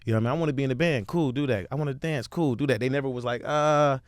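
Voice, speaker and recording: A man's voice: mid-range voice, rapid-fire, dry recording. Chill young guy from florida chatting in an interview, says absolutely a lot, dry close mic. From Jacksonville, Florida. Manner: laid-back, repeats short affirmations. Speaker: actor, guest. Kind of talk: casual interview chat.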